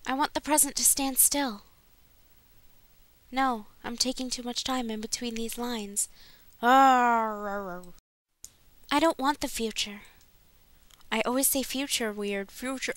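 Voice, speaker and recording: A woman rehearsing amateur dub lines in a halting way, correcting herself in asides. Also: on the high side, a bit bright, no room sound. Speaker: amateur fandub voice actor.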